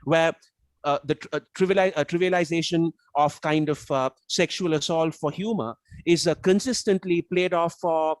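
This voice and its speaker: A man's voice: fairly high pitch, steady tone, moderate pace, no echo. A man whose first language is Tamil, speaking as a guest in a casual analytical discussion, building careful arguments with frequent repeats and restarts. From South Asia, Tamil-speaking region. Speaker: guest reviewer on a book discussion.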